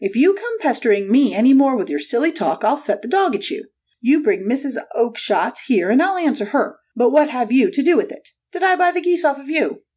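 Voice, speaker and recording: Angry market trader, raised high voice swooping about, shouty and fed up, storybook. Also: male voice, big pitch swings, fairly quick, full character voice. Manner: shouting, blustering, impatient. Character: surly, fierce market stallholder, angry salesman.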